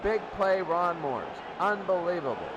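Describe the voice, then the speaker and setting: A man's voice: high voice, big pitch swings, moderate pace, muffled recording. A man commentating on sports, talking through team statistics off the cuff, with noise behind him. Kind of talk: unscripted statistics rundown before a game.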